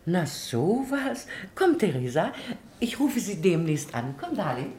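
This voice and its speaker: A woman's voice: on the low side, sing-song, unhurried, a little mellow. An actress playing a domineering, snobbish middle-aged society mother in a German radio play, with an effusive, imperious manner. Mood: imperious, affronted. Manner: effusive, imperious, theatrically affected.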